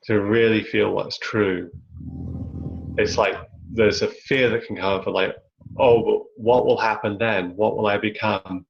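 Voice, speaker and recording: Male spiritual teacher, low gravelly voice, halting reflective delivery, dull web-call audio. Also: fairly even intonation. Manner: searching, halting, many "like"s. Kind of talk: reflective satsang talk. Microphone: video call.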